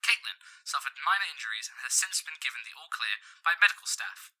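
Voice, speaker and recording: Sharp-toned male radio newsreader. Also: medium pitch, lively intonation, quick pace, rough recording. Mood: serious, measured. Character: formal news reporter on the radio. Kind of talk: scripted news reading.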